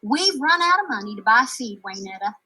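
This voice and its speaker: A woman's voice: fairly high pitch, sing-song, a little fast, pushed and bright, slightly rough, heavily acted. A woman voicing a worn-out, blunt ranch mother in a storybook, scolding in exasperated, folksy talk. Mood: weary.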